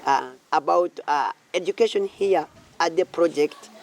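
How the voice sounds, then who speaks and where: A man of thirty-seven with a Ugandan accent, answering informally about his own life in halting, repetitive phrases. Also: fairly high pitch. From southwestern Uganda. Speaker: community project founder and church minister.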